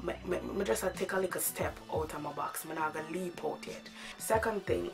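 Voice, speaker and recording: A woman in her thirties with a hoarse voice from a cold, chatting casually to camera in her bedroom about a wig she ordered. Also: fairly low pitch, fairly quick, over music. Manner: chatty, animated, gestures a lot with her hands. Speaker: beauty vlogger. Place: bedroom at home, indoors.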